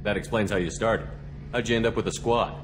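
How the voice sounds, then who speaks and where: An actor playing a steady human military commander in a video game, asking direct, calm, probing questions in a businesslike way. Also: male voice, on the low side, a little fast, background noise, lightly acted. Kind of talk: video game dialogue acting.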